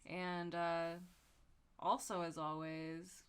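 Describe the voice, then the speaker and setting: Slow-paced, irreverent woman podcast host. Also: lowish voice, little pitch movement, clean tone. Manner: giggly, irreverent, sweary. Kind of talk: casual comedic chat and fan fiction reading.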